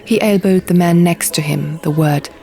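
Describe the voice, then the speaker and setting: A woman's voice: lowish voice, moderate pace, gravelly, mellow. A woman reading literary fiction aloud as an audiobook narrator, telling the story in the first person, over music. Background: music and sound effects.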